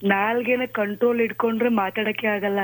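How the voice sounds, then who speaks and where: A woman speaks very quickly at an average pitch. She argues over the telephone, curt and impatient, scolding the person on the other end. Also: little pitch movement, low-quality recording. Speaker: prank call recipient. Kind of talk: argumentative phone conversation. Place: on a telephone call.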